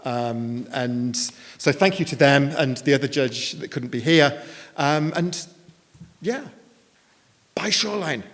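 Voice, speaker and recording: A man's voice: medium pitch, slow, fairly bright tone. A man informally presenting at a live event, hesitant and rephrasing as he goes, with a light-hearted touch. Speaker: event presenter announcing competition winners. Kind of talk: informal live stage announcement. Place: live event venue, indoors. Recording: echoey hall at a live event.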